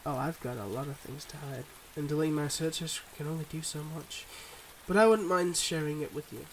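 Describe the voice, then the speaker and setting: A man playing a suave, secretive mob boss boyfriend in an intimate ASMR roleplay, talking smoothly and teasingly to the listener. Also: a little high, fairly quick, slight background noise, natural voice, poor audio. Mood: confiding, teasing.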